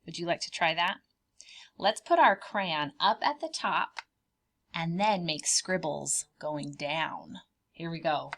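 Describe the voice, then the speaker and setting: A woman's voice: low-pitched, expressive, slow pace, a bit bright. A woman preschool teacher leading a children's art lesson step by step, speaking in simple, child-directed language with encouraging questions and repeated phrases.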